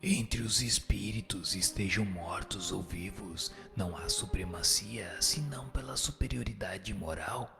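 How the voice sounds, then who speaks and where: A man playing a solemn spirit who answers questions with moral authority, in a measured, formal, didactic radio drama reading. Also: sits low, creaky. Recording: music bed under the voice.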